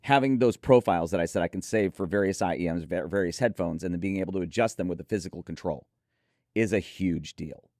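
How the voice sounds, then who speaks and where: A man with a fairly low voice gives his take on audio gear as a reviewer and co-host. He sounds enthusiastic and opinionated in casual conversation, in a dry recording with very little room sound. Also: fast talker, darkish tone, no echo.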